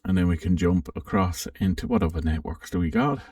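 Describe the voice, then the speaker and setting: A man hosting a casual, informal software walkthrough for an amateur radio channel, thinking aloud a little hesitantly as he goes. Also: sits low, a little fast, clean studio sound. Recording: roomy, distant microphone.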